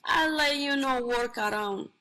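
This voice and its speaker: A woman playing God as a blasé, boastful bathhouse attendant in a play reading, speaking in halting, self-satisfied phrases. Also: high-pitched, sharp tone. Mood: matter-of-fact.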